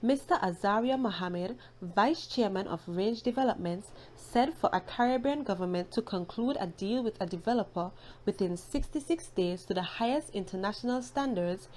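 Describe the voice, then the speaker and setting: A woman's voice: mid-range voice, moderate pace, a little mellow, poor audio. Formal female newsreader, faint background noise. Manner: formal, careful reading. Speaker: news presenter. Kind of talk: scripted news narration. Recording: faint ambient noise behind narration.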